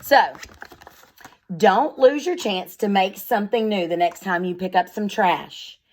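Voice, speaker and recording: A woman's voice: medium pitch, unhurried. A woman speaking as a schoolteacher, reading aloud and explaining things in simple, instructive words addressed directly to young children.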